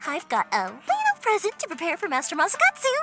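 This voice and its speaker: A woman's voice: very high voice, wildly swooping pitch, fairly quick, pushed and bright, heavily acted. A woman voicing a cheerful, spirited young fireworks maker in an anime game, friendly and brave, with a breezy, colloquial manner. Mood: hushed, resolute. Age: young adult. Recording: music behind.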